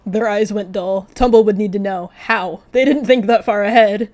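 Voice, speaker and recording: A woman's voice: mid-range voice, steady tone, moderate pace, a little mellow. A woman narrating a video game roleplay in story style, with casual asides to viewers. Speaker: gaming video creator.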